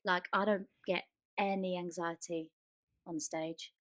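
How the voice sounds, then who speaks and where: A woman's voice: lowish voice, steady tone, measured pace, a bit bright, no echo, clean studio sound. A woman co-hosting a podcast in casual chat, speaking informally and with emphasis. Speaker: podcast co-host.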